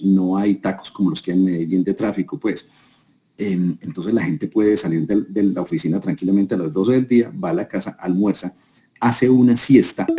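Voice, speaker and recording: Colombian male speaker, low and very dark voice, chatty seminar delivery to a room. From Colombia, Quindío. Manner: conversational, asks the audience rhetorical questions. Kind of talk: live talk to an audience. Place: room with a live audience.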